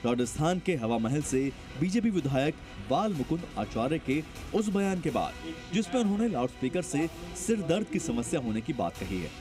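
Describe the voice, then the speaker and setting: A male reporter voices a scripted news package with dramatic emphasis. His voice is fairly high and swings widely in pitch, over a clear music bed. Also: big pitch swings, moderate pace.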